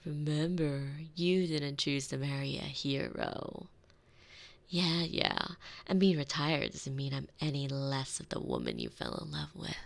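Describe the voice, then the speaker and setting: Casting: actress as retired supervillain housewife for ASMR audio drama; low register, very lively intonation, wry and teasing. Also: female voice, a little slow, creaky, exaggerated voice. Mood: fond, teasing. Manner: wry, teasing, theatrical phrasing. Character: sardonic, thrifty retired villainess turned doting housewife. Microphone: close binaural microphone.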